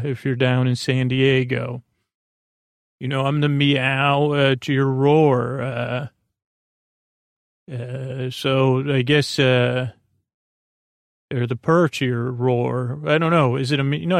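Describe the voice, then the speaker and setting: An American man hosting a sleep podcast, rambling in a halting, dreamy, whimsical monologue that meanders along. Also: medium pitch, vocal fry, no room sound, clean studio sound. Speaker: podcast host. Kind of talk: meandering bedtime monologue to help listeners sleep.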